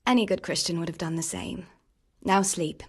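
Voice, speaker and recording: A woman voicing a caring young village woman who nurses a sick man, gentle and soothing. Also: on the low side, normal speed, breathy edge. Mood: tender. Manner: gentle, soothing, then scolding. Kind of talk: video game cutscene acting.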